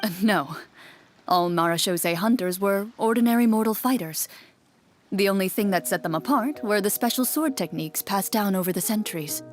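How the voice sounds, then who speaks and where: A woman playing a composed young swordswoman and game master in a video game, speaking in a measured, formal, matter-of-fact way. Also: mid-range voice, lightly acted. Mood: composed, explanatory.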